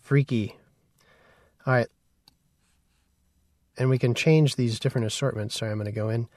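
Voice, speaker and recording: Male tutorial instructor, medium pitch, informal conversational delivery, dry studio-style sound. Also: fairly even intonation, normal speed, darkish tone, dry recording. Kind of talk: casual software tutorial.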